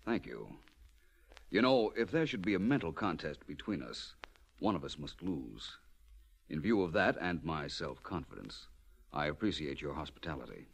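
An actor playing a suave, self-assured detective in a 1940s radio drama, with an urbane, wry, theatrical delivery. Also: male voice, extremely low pitch, very animated, moderate pace, gravelly, breathy edge, exaggerated voice. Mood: smooth, coolly flirtatious. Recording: dull, lo-fi 1940s radio recording.

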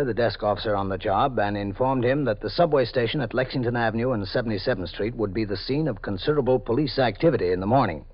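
An actor playing a police captain who narrates events as a clipped, factual report, in a 1950s radio drama. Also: male voice, medium pitch, close to an everyday voice. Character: steady, matter-of-fact male police captain. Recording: dull old radio broadcast recording.

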